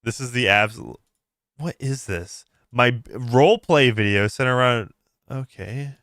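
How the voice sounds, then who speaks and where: A man hosting a casual livestream, reacting aloud in a halting way, repeating words and breaking off mid-sentence. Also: mid-range voice, a bit bright, no echo, clean studio sound. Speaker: livestream host. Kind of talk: casual reaction stream commentary.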